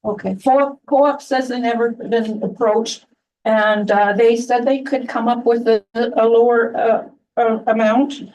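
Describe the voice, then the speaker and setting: A soft-spoken woman addressing a town council during public comment, halting with many restarts and fillers. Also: on the high side, steady tone, far from the mic, rough recording.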